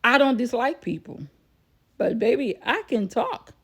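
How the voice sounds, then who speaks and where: A woman's voice: on the high side, lively intonation, measured pace, husky. A woman telling a personal story casually to viewers, chatty and repeating phrases for emphasis. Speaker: vlogger.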